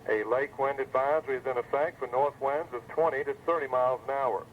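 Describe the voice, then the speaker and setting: A man's voice: medium pitch, a little fast. A male announcer reading a scripted weather bulletin over a 1980s weather radio broadcast. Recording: muffled phone-like 1980s weather radio, faint noise.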